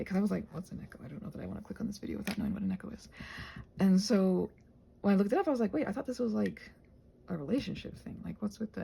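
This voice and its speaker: A woman with a soft-edged, mellow voice that has a husky grain and some creak. She talks in a loose, casual ramble, wandering off on tangents and circling back to rephrase herself. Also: mid-range voice. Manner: digressive, self-correcting, trails off and restarts thoughts. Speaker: host of a rambling craft vlog.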